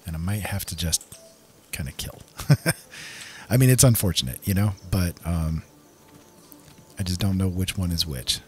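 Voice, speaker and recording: A man's voice: low voice, unhurried, long pauses, soft background music. A man giving casual, easygoing commentary on his own gameplay, talking to himself as he plays.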